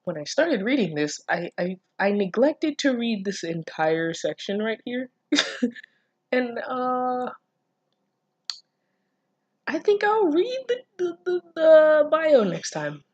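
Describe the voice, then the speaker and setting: A woman's voice: fairly high pitch, very animated, takes it slowly, long pauses, darkish tone, dry recording, lo-fi sound. A woman reading and commenting on fan fiction in a casual, comedic way, sweary, sardonic and exasperated, stumbling over her words. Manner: breaks into laughter and stammers.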